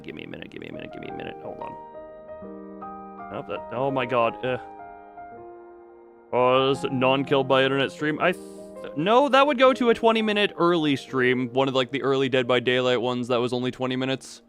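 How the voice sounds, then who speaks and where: A man's voice: medium pitch, big pitch swings, fairly quick, vocal fry, a bit bright. A man livestreaming with casual chat, muttering and thinking aloud in a distracted way. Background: background music.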